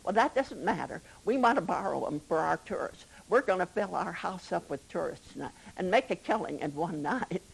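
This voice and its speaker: A woman's voice: lowish voice, animated, moderate pace, creaky, hardly any pauses, breathy edge, poor audio. An older woman, telling anecdotes casually as an interviewee. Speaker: interviewee recalling the past.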